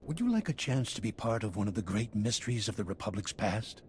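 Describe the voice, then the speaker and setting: An actor playing an earnest, formal historian in a video game, speaking grandly and with enthusiasm. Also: male voice, lowish voice, very animated, brisk, slight background noise. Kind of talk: game character dialogue.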